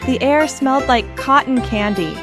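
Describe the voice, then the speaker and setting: Female children's storyteller, higher-pitched, expressive and unhurried, over prominent jingly children's music. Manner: expressive, simple phrasing addressed to children. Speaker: children's storybook reader and channel host. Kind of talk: read-aloud storytelling for young children.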